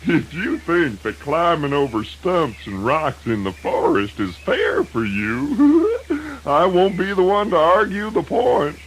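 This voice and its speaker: A man's voice: high-pitched, sing-song, slow pace, full character voice. A man playing a cocky, gleeful trickster rabbit in a children's radio folk tale, with a Southern American accent and a folksy, teasing, giggly drawl. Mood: smug, giggly. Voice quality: giggly, swooping delivery. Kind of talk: children's radio drama acting. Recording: noisy old radio drama recording.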